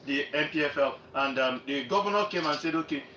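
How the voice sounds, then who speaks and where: A Nigerian man with a fairly high voice discusses sport on a radio panel. He sounds earnest and repeats phrases as he goes, in a roomy space away from the mic with faint noise. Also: a little fast, bright, forceful tone, distant mic, lo-fi sound. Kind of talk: live sports commentary and discussion.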